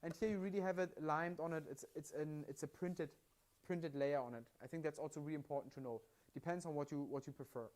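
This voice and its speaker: A man's voice: a little high, fast talker, a little mellow. An upbeat man with a German accent walks viewers through a product on a live stream. He explains step by step and keeps checking in with little "yeah?" tags. His mic is clipped at his side. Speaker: livestream product presenter. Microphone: mic worn at his side.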